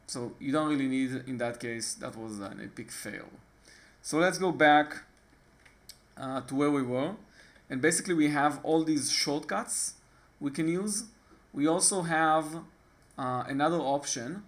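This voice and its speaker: A man from Israel with an Israeli accent, talking casually and haltingly through a screen-recorded computer tutorial, often pausing on fillers and restarting his sentences. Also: a little high. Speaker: tutorial host.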